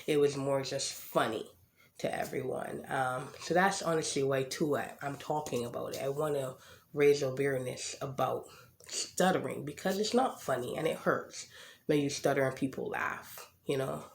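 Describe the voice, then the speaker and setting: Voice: medium pitch, moderate pace, gravelly, a little hiss or hum. Stuttering podcast host in an echoey room. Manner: speaks with a stutter, occasional word repetitions; slight lisp. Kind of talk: casual personal monologue.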